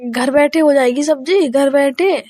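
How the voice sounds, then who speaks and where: A woman's voice: sits high, measured pace, darkish tone, dry recording. A woman playing a resentful young eloped wife in an audio drama, complaining reproachfully to her husband in natural conversational dialogue. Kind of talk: improvised-sounding domestic dialogue in an audio story.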